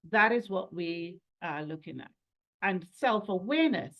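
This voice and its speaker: A woman presenting a webinar as an educator, explaining in a didactic way with rhetorical questions and step-by-step lists. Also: medium pitch.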